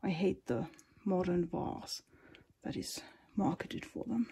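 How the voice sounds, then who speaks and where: Finnish woman, slow soft half-whispered tour, raspy creaky voice, lots of ums. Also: on the low side, expressive. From Finland. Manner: soft, often whispery, unhurried, many ums. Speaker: collector giving a tour. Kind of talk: casual narrated collection tour. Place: indoors at display cabinets at home.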